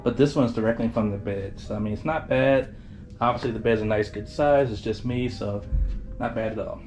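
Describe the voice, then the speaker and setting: A man's voice: on the low side, brisk, darkish tone, soft background music. A tired man giving a casual, informal tour as a travel vlogger, addressing viewers in a folksy way. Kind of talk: casual cruise ship tour commentary.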